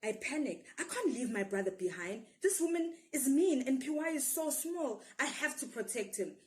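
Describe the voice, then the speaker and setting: A woman's voice: high voice, lively intonation, moderate pace, a little mellow. South African woman reading a children's story.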